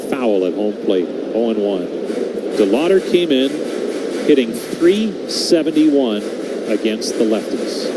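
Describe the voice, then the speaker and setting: A man with an American accent calling baseball play-by-play as live radio commentary. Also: a little high. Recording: loud background noise on live broadcast.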